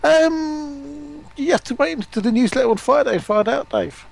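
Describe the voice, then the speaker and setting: A man's voice: very high voice, normal speed, creaky. A man hosting a live stream, chatting casually and putting questions to his guests. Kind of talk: casual chat, asking questions.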